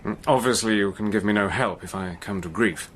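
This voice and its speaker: An actor playing a British army major who talks in a dry, understated, unhurried way in a radio drama. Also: male voice, on the low side, a bit bright, slight background noise, close to an everyday voice. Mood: wry. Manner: dry, understated, slow-paced. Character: British army major, brave and dryly self-deprecating. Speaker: Hannay, hero and narrator.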